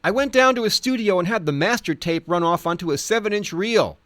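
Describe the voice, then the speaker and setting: Man putting on an excitable, higher-pitched stubborn musician. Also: fairly quick, no room sound. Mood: defiant, excited. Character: guileless, excitable, stubborn Texan male musician recalling grievances.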